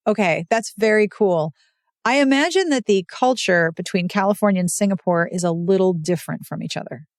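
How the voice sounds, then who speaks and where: A woman's voice: mid-range voice, a little fast, dry recording, clean studio sound. An American woman hosting a podcast, reading sponsor spots and chatting with a guest in a bubbly, playful way. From United States. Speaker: podcast host. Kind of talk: casual podcast chat and read ads.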